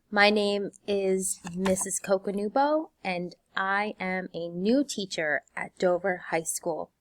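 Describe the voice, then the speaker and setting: A woman in her thirties, a teacher whose first language is Spanish, speaks to her incoming class in a slow, measured way. Her tone is earnest and encouraging. The recording is dry and close, with no room sound. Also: mid-range voice, no room sound. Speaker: high school Spanish teacher. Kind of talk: scripted welcome message to students.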